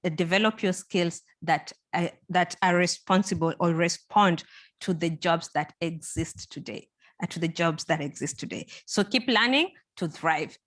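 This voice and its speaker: A woman's voice: lowish voice, moderate pace, a bit bright. A woman whose first language is Swahili, moderating a panel and giving earnest, encouraging closing remarks, often repeating words and using fillers.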